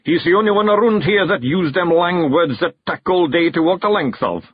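A man's voice: high-pitched, lively intonation, normal speed, highs cut off, a little hiss or hum, pitched above the performer's own voice. A man voicing a blunt, matter-of-fact fairy clansman with a Scottish accent in a storybook. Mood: blunt diagnosis. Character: big blunt male fairy clansman, distrusts learning.

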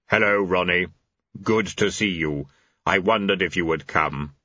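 A man voicing the grim figure of Death, who speaks curtly and in measured tones. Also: deep voice, pushed and bright, no room sound, dull audio, voice pushed down, full character voice. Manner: curt, measured. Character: grim, curt personification of death.

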